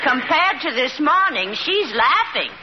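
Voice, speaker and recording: An actress playing a gloomy, gossipy hospital patient with foreboding warnings in an old radio comedy. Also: female voice, high-pitched, sing-song, unhurried. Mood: ominous. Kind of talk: 1950s radio comedy acting. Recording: muffled, noisy old radio recording, studio audience.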